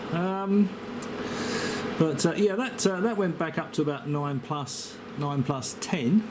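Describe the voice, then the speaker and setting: A man chatting casually as an amateur radio operator, rambling with hesitant pauses, picked up by a handheld camera near his radio. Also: a little high, noisy background. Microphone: camera microphone held near the operator.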